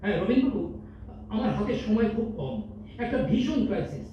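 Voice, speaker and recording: A man acting a troubled, soft-hearted managing director in a staged audio drama, speaking emotionally with dramatic pauses. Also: high voice, sing-song, a little hiss or hum. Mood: anxious, torn. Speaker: amateur stage actor in an audio drama competition. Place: multipurpose hall stage. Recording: echoey hall, distant mic, dull sound.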